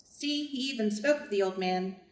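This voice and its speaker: A woman playing a loyal household nursemaid who narrates a reader's theater story in an expressive storytelling manner. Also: mid-range voice, normal speed, close to an everyday voice. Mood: indignant. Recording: echoey room, distant mic.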